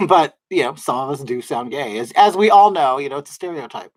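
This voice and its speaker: Soft-voiced man chatting on a livestream. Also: on the high side, lively intonation, moderate pace, dry recording. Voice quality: soft, feminine-sounding voice. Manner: rambling, chatty, many fillers and restarts. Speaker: livestream host. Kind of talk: casual livestream chat answering viewers. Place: at home in drag, wig on.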